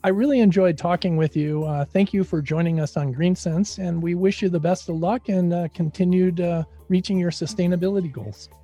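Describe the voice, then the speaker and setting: A man's voice: sits high, fairly quick, hardly any pauses, dark tone, faint music behind. A man hosting a radio interview show, casual and filler-heavy in his questions.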